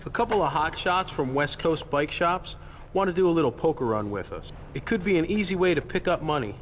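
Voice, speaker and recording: An actor playing a direct, casual bike builder, the boss's son, in a video game. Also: male voice, a little high, expressive, fairly quick, slight background noise, natural voice. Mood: matter-of-fact. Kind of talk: video game cutscene acting.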